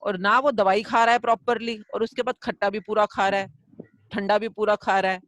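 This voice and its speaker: A woman's voice: mid-range voice, a little fast, dry recording. A middle-aged woman teaching a gratitude course over a video call, mixing Hindi and English and acting out everyday examples as she answers a student. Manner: mixes Hindi and English, answers with examples and lively acted quotes. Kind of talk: live online class, coaching a student.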